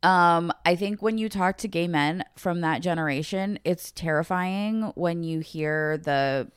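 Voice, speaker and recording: American woman, lowish pitch, clean smooth tone, dry sound, informal podcast chat. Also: a little slow, no echo. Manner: informal, peppers speech with "like". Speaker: podcast co-host. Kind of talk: casual chat.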